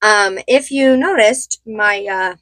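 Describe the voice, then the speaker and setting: A woman in her thirties with a cold-roughened voice, chatting casually and rambling a little while doing her makeup, laughing often. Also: mid-range voice, slow pace, fairly bright tone, no echo, clean studio sound. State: hoarse from a cold. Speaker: beauty vlogger. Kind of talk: casual get-ready-with-me chat.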